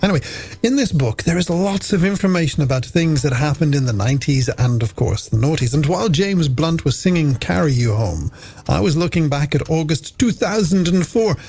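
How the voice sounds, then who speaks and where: Animated male late-night radio host. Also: fairly high pitch, fairly quick, husky. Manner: chatty, playful, scripted alliterative patter. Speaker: radio presenter. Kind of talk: late-night music radio show hosting. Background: music.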